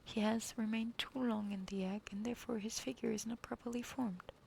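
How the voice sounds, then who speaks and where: A woman reading a fairy tale aloud in a soft whisper, pausing now and then to apologize. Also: on the high side, steady tone, gravelly, slight background noise. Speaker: reader.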